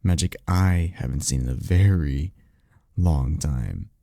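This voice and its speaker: A man playing a guarded, secretive vampire in an ASMR roleplay, speaking in a halting, insistent, colloquial and sardonic way. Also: rumbling low, creaky, mellow, dry recording, studio quality, full character voice. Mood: earnest, intense. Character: guarded, secretive male vampire offering magical mentorship. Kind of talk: ASMR roleplay audio drama.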